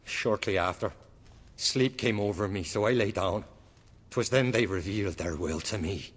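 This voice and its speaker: An actor playing a tormented, guilt-ridden Norse clansman in a video game, speaking solemnly in archaic phrases with a rough voice. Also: fairly low pitch, normal speed, slight background noise, close to an everyday voice. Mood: haunted, reverent. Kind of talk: video game cutscene acting. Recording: long hall-like reverb.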